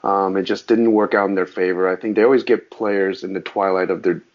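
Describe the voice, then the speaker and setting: A man's voice: sits low, fairly quick. A man who grew up speaking Mandarin, a guest on a casual interview podcast, rambling with lots of restarts. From Southern California. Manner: rambling, frequent restarts, animated on sports. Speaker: guest, actor and musician. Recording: dull lo-fi podcast audio.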